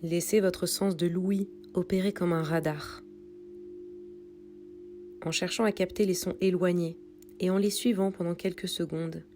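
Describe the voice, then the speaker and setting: A woman reading a guided yoga nidra meditation in a calm, soothing, unhurried way with pauses. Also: fairly low pitch, faint music behind. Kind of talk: guided meditation read from a script.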